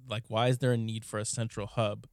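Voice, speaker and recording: A man's voice: on the low side, little pitch movement, a little fast, no room sound, studio quality. A man co-hosting a podcast, chatting casually with friends, laughing often and rambling with a lot of swearing. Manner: laughing, profane, rambling storyteller. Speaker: podcast co-host. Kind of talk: casual group chat.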